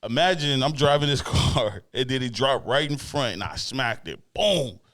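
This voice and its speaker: A man's voice: medium pitch, expressive, normal speed. A man hosting a casual chat interview in a laid-back, slangy way with some easy swearing. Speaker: interview show host.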